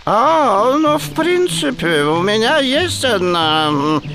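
An actor playing a sarcastic, grumbling know-it-all sidekick in a fairy-tale radio play. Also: male voice, high voice, sing-song, slow, creaky, background noise, exaggerated voice.

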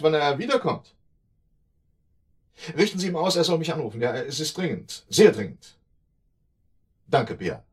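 An actor playing a slick, evasive shady dealer in a radio drama, speaking in a condescending, sardonic, talkative way with a husky voice. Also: male voice, mid-range voice. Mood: nervous, urgent. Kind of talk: German radio drama acting.

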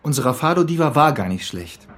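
An actor playing a wry, self-assured police detective in a German radio crime drama, speaking in a dry, knowing, matter-of-fact way. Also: male voice, mid-range voice, expressive, a little fast, a bit bright, close to an everyday voice.

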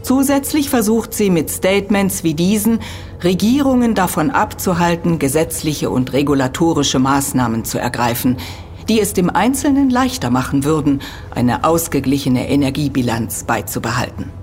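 Low-voiced, animated female lecturer. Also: normal speed, faint music behind. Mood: earnest, emphatic. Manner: precise, didactic, emphatic. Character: expert female university lecturer, earnest and critical. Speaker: expert being interviewed. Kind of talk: German audio drama dialogue, explanatory lecturing.

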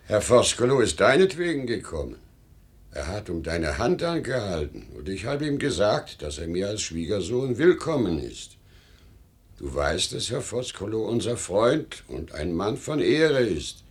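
Solemn anxious dad in a radio play, low voice, big swings in pitch. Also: male voice, big pitch swings, unhurried, husky, heavily acted. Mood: solemn, urging. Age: middle-aged. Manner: formal, solemn, earnest. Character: good-natured, poor father, formal and anxiously beseeching. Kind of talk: German radio play acting.

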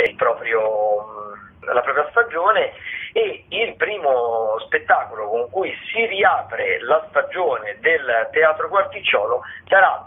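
Italian guy chatting through theatre listings on radio, kinda husky, sounds like he's on a phone. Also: mid-range voice, narrow, tinny audio. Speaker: radio arts programme presenter. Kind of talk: conversational theatre listings commentary.

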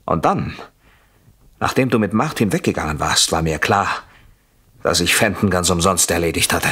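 An actor in a radio play playing a jealous, coldly sardonic husband with a mockingly polite, deliberate manner. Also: male voice, low-pitched, expressive, fairly bright tone, breathy edge, heavily acted. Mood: mocking, menacing.

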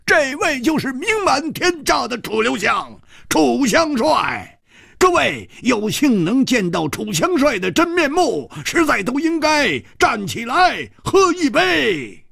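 A man voicing a cowardly male street hawker who cries out in a strained, high, wheedling voice. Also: lively intonation, normal speed, vocal fry, few pauses, sharp tone, husky, dry recording, studio quality, full character voice. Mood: boastful.

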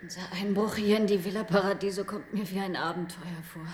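A woman's voice: medium pitch, fairly even intonation, a little fast, darkish tone, a little hiss or hum, natural voice. An actress playing a well-informed, composed woman investigator in a radio play. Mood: hushed, intrigued. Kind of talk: German radio play acting.

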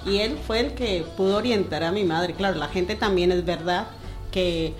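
A woman from Colombia chatting on a radio panel, lively and laughing often. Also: fairly low pitch, normal speed, soft background music, poor audio. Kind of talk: casual radio chat.

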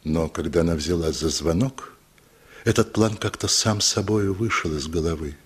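A man narrating a literary story for a radio play, telling it expressively with dramatic pauses. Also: sits low, husky.

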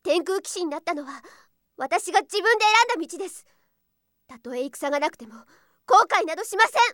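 High-voiced earnest young princess knight. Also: female voice, expressive, brisk, fairly bright tone, dry recording. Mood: resolute. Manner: earnest, impassioned. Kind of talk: anime audio drama acting. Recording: studio drama with music behind. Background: music.

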